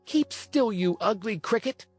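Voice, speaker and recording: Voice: medium pitch, very animated, a little slow, darkish tone, faint music behind, voice pushed up. A narrator voicing a defiant, impudent boy marionette in a storybook reading, with a cheeky, petulant manner. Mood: angry, threatening.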